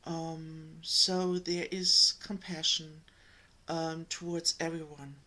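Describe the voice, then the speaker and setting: A woman from Germany with a German accent, giving a slow, gentle spiritual talk to her viewers, pausing often. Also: low voice, flat delivery. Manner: slow, gentle, with many pauses and ums. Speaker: spiritual coach.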